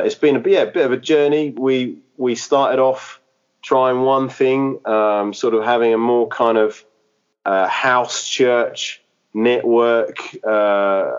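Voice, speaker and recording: A man's voice: mid-range voice, clear, resonant tone, lo-fi sound. A man who planted a church, speaking in a podcast interview, hesitant and full of fillers and restarts. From England.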